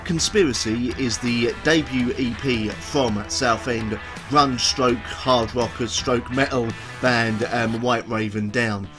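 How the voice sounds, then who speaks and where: A chatty man talking straight to camera in a loose, rambling way, full of ums and restarts. His voice sits in an ordinary middle pitch and sounds a little stuffed up from a cold and hay fever. Faint music plays softly underneath. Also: steady tone, slow pace. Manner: chatty, rambling, many ums and self-corrections. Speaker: music reviewer and show host. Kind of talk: casual video review. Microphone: camera video recording.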